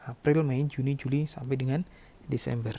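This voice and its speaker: A man's voice: medium pitch, fairly even intonation, normal speed, creaky, warm tone. A man teaching like a lecturer, explaining step by step and often adding short check-in words as he goes. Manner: instructional, repeatedly checking with short tag words. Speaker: accounting lecturer.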